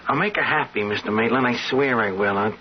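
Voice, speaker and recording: An actor in an old-time radio drama playing an eager, uneducated, lovestruck rich man who talks in halting, colloquial, earnest speech. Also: mid-range voice, husky, natural voice. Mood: earnest, hopeful. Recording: dull vintage radio recording, music behind.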